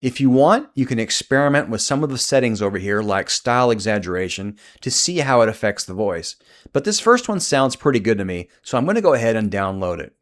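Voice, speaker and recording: A man narrating a scripted software tutorial as its host. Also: mid-range voice, big pitch swings, fairly quick.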